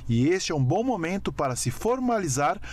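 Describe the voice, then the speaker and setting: A male TV reporter, narrating a local news story in a measured, deliberate way. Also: high voice, sing-song, darkish tone. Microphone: handheld microphone.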